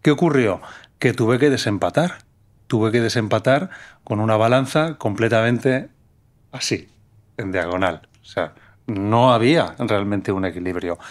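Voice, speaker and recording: Thoughtful middle-aged man with a Spanish accent. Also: lowish voice. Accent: Spanish from Spain. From Menorca, Spain. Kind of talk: reflective conversational interview.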